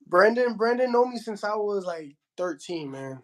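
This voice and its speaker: Young Miami heavyweight, Spanish first language, high voice, slangy casual interview talk full of restarts. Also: male voice, animated, moderate pace, a bit bright. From Miami, Florida. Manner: slangy, says bro a lot, frequent restarts. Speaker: heavyweight boxer.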